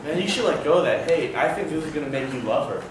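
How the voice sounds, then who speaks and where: A man's voice: on the high side, animated, fast, background noise, close to an everyday voice. An improv actor playing an easygoing best man who laughs through his lines and reassures his friend. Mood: joking. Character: laid-back chuckling best man, a man. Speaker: improv performer. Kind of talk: live improv comedy scene. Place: theater stage with live audience. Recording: roomy live stage recording from far off.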